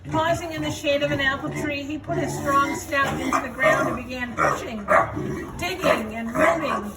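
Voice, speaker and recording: A woman's voice: high-pitched, very animated, moderate pace. A woman reading a children's story aloud as narrator. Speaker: storybook reader, school staff. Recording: noisy room, distant microphone.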